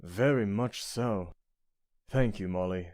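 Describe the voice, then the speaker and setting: Man as a mellow, suave flirting prince. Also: on the low side, takes it slowly, no echo, clean studio sound. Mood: flirtatious. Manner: smooth-talking, genteel, self-assured. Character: suave, flirtatious, penniless prince with courtly charm. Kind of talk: comic dub voice acting.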